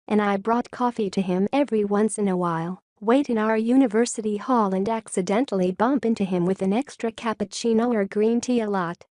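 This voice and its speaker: A woman reading forum posts aloud in a steady, even reading voice. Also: mid-range voice, dry recording, clean studio sound.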